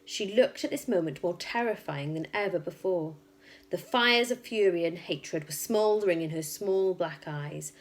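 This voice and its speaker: A woman reading a children's story aloud like a teacher, with expressive, emphatic and suspenseful narration. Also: fairly low pitch, moderate pace.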